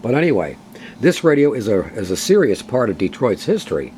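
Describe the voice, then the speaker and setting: A man's voice: medium pitch, brisk, a little hiss or hum. An older man, a longtime radio collector, talking casually with many hesitations as he shows an antique radio at home. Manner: relaxed, folksy, many uhs. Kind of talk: casual show-and-tell.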